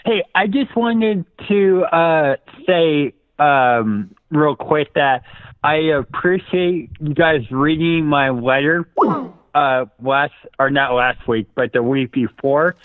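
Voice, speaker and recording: A man's voice: a little high, slow, no room sound. Guy calling into a show, casual, tinny phone audio, no room sound. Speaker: regular caller to the show. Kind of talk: casual phone call-in chat. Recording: dry, narrow phone line.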